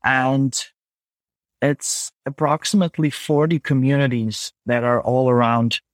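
Casting: Croatian-accented man, podcast guest, mid-pitched, earnest and halting, dry close sound. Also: fairly even intonation, no echo. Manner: earnest, halting, with many restarts. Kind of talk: podcast conversation.

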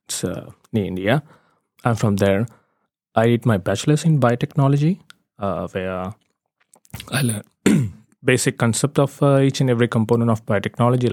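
Young man, from Sri Lanka, hesitant, lots of fillers, dry close sound, mid pitch. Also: darkish tone, no room sound. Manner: hesitant, many "uh" fillers, plain and unhurried. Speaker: master's student in biotechnology. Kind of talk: casual self-introduction for a lab video.

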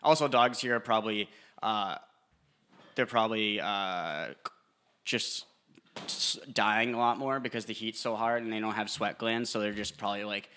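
A young man with a low, bright voice rambles on a podcast. He stumbles through restarts and fillers and drops dry jokes along the way. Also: normal speed, clear, resonant tone. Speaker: podcast co-host. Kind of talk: casual chat.

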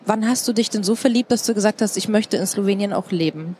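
A woman moderates a panel at a trade fair, her voice of middling pitch. She chats easily and slips in personal asides, with a faint hum of ambience behind. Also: fast. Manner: warm-hearted, chatty, personal asides. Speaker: host.

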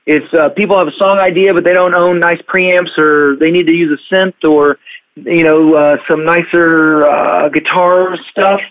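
A fairly high-pitched man talks over a thin, tinny phone line. He chats casually and loosely, full of ums and you-knows. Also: steady tone, a little slow. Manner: chatty, loose, hesitant with fillers. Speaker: podcaster and guest. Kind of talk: casual chat.

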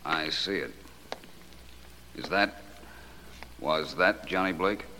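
An actor playing a suave, self-assured private detective in a 1940s radio drama, courteous and precise. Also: male voice, low voice, slow, sharp tone, heavily acted. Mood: grave. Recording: noisy old radio recording.

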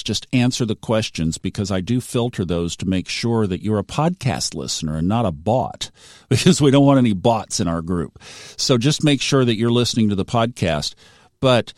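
A low-voiced man hosts a casual podcast chat, recorded close and dry with no room sound. He talks earnestly and with upbeat warmth as he reflects on a point. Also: no echo. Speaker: podcast host.

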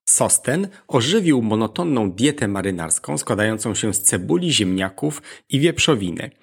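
A man's voice: on the low side, big pitch swings, moderate pace, creaky, slightly rough. A man hosting a podcast, reading a scripted educational narration in an explanatory way that addresses the listener. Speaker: podcast host.

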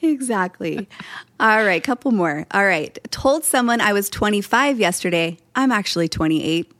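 A woman's voice: mid-range voice, animated, normal speed, a bit bright, no room sound. A woman in her forties hosting a podcast, upbeat and chatty, laughing often. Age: 40s. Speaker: podcast host. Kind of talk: casual podcast chat.

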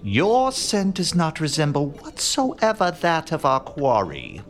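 A grandiose, aloof male detective in a comic mystery audio drama. His pitch ranges extremely widely as he pronounces each deduction with deliberate, self-assured pomp. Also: huge pitch swings, exaggerated voice. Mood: confident, grandiose. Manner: theatrical, haughty, deliberate, given to hushed musing.

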